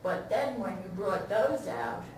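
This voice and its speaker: Female audience member, informal critique, medium pitch, recorded far from the mic in a very reverberant room. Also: big pitch swings, a little slow, darkish tone. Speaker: audience member giving feedback. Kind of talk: informal spoken critique at a talkback. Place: indoor room at a staged reading talkback. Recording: echoey room, distant mic, noisy.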